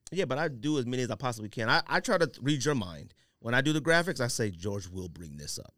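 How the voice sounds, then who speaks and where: A man's voice: mid-range voice, big pitch swings, fast talker, pushed and bright, no room sound, studio quality. A man hosting a casual sports and sneaker podcast, talking in slangy, teasing banter with friends. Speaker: podcast host.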